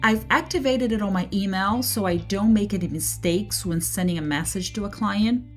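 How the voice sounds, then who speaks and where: A woman's voice: medium pitch, normal speed, faint music behind. A woman who speaks Portuguese natively, hosting a solo podcast and explaining things with careful enunciation. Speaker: podcast host; translator.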